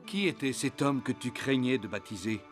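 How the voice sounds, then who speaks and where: A man voicing an eager, urgently questioning disciple in an animated Bible drama. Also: mid-range voice, normal speed, reverberant, in a large hall, over music, lightly acted.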